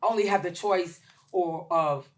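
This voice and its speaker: A woman in her late forties, a chef and caterer talking as a guest in a casual podcast interview, emphatic and lively, with many ums. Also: fairly low pitch, a little slow. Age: 40s. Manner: lively, emphatic, with shout-outs and filler ums.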